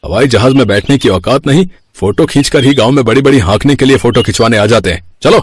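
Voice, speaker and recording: A man voicing a gruff older airport guard in a cartoon story, scolding and dismissive. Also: medium pitch, fast talker, no echo, natural voice. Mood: irritated, contemptuous.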